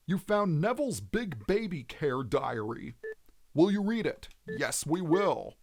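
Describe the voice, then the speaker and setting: A man's voice: fairly high pitch, very animated, measured pace, darkish tone. A man giving video game let's play commentary in a theatrical, explanatory manner as the host. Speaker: let's player, host of the series.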